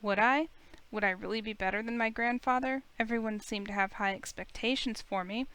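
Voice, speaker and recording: A woman voicing a grieving high school heroine, bitter yet earnest, in visual novel narration and dialogue. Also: fairly quick, a bit bright, smooth, close to an everyday voice. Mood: earnest, doubtful.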